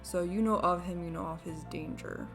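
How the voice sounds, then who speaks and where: Lower-voiced woman running a fantasy game. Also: normal speed, faint music behind. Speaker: game master. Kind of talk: narrating a tabletop fantasy game.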